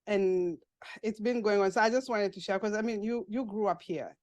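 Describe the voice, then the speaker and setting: A woman's voice: medium pitch, moderate pace, a little mellow, no echo. A woman hosting a podcast interview who came as an immigrant, asking questions with light laughter and hesitant fillers. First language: not English, grew up in an English-speaking country abroad. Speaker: podcast host.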